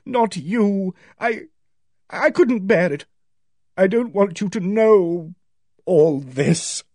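A man's voice: on the high side, big pitch swings, normal speed, slightly rough, no echo, clean studio sound, pitched above the performer's own voice. A man voicing a hearty, emphatic woman of strong feeling in an audiobook. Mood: anguished. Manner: loud, exclamatory, emphatic. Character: hearty, booming, defiant woman who breaks into anguish. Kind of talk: audiobook character voice.

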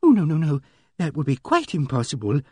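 A man's voice: on the high side, wildly swooping pitch, brisk, dark tone, husky, no room sound, studio quality, voice pushed up, full character voice. A man voicing a timid, fussy elderly spinster lady with a genteel, fluttering, reproachful manner, in an audiobook. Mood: anxious. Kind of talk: audiobook character voice.

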